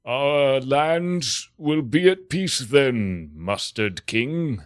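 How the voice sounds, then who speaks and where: A man's voice: medium pitch, lively intonation, extremely slow, dry recording, clean studio sound, pitched above the performer's own voice. A man improvising a comedy scene as a proud, theatrical warlord of goatherds, using pompous archaic phrasing. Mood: stately, proud.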